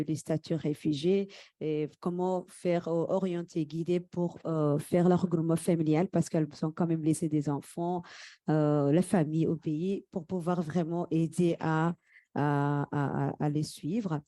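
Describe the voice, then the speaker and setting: A woman from Somalia, a native Somali speaker, giving a halting conference presentation with repeated words as she searches for phrasing. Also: deep voice, dark tone, dry recording. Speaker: community worker and interpreter. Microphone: microphone.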